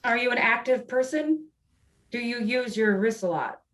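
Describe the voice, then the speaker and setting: A woman playing a patient, matter-of-fact doctor in a play read over a video call, with a measured clinical manner. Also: a little high, lively intonation, fairly bright tone. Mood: professional, patient. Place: indoors, remote video call performance.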